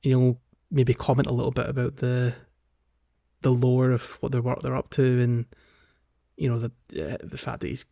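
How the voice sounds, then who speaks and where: A man's voice: medium pitch, flat delivery, normal speed, vocal fry, warm tone, dull audio. A man hosting a podcast, talking through a game in a casual, rambling, conversational way. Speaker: podcast host.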